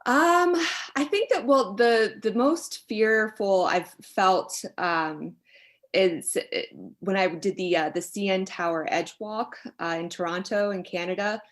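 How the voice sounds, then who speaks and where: A middle-aged woman from the United States, an expert guest explaining her work conversationally in a thoughtful, halting, reflective way. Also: mid-range voice. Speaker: expert guest, sociologist and author.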